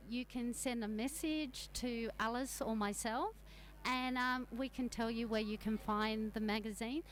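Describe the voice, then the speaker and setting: A woman's voice: on the high side, a little hiss or hum. A woman with an Australian accent, a travel journalist talking as a guest in a radio interview, rambling with many fillers and restarts.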